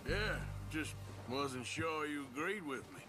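An actor playing a terse, guarded American outlaw gunslinger in a Western video game, with a gruff, laconic way of talking. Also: male voice, on the high side, expressive, measured pace, loud music behind the voice. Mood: reluctant.

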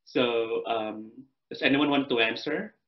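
A man hosting a poetry reading panel on a video call, asking questions gently with many pauses and fillers. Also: medium pitch. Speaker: panel host and anthology editor. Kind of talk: moderating a reading. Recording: muffled video call audio.

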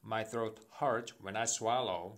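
A man's voice: fairly low pitch, steady tone. A man with a Korean accent, a native Korean speaker, carefully reading English phrasebook sentences aloud over a phone call during a practice session. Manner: careful, deliberate read-aloud, slightly halting.

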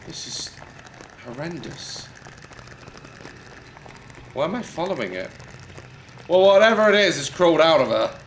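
A man's voice: a little high, very animated, measured pace, pauses often, slight background noise, low-quality recording. Jumpy man doing game commentary. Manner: jokey, yelps and shouts at scares. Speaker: gaming youtuber.

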